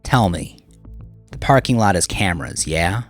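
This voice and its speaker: A man's voice: low voice, expressive, normal speed, soft background music. A man playing an ambitious young gangster in an audio crime story, coaxing and reassuring as he asks probing questions.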